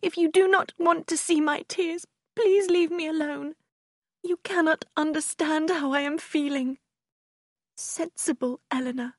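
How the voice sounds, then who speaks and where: A heartbroken young woman, acted out by a female narrator, pitched high and full of emotion. She is passionate and self-pitying, pleading and tearful in the manner of an audiobook of a classic novel. The sound is close and dry. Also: lively intonation, unhurried, darkish tone, slightly rough, dry recording, full character voice. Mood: anguished, bitter. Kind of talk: audiobook character acting in a simplified classic novel.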